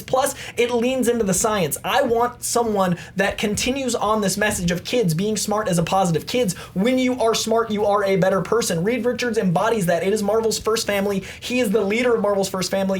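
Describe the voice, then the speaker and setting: A man making a structured persuasive case on a film debate show, driving points home with emphatic repetition. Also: high voice. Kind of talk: structured persuasive argument about films.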